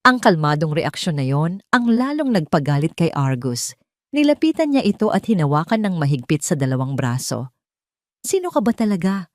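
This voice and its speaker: A woman with a Filipino accent narrating a scripted drama in an expressive, suspenseful storytelling way. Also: low voice, moderate pace, no room sound, studio quality.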